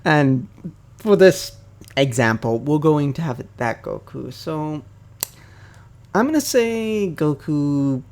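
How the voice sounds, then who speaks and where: Slow, rambling young man. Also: medium pitch, a little mellow. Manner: rambling, many fillers and restarts. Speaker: YouTuber. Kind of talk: casual unscripted fan theory talk.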